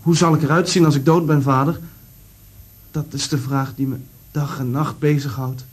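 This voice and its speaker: An actor playing a condemned man, husky and anguished, in a radio play. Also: medium pitch, steady tone, moderate pace, natural voice. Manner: anguished, brooding. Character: condemned man haunted by death.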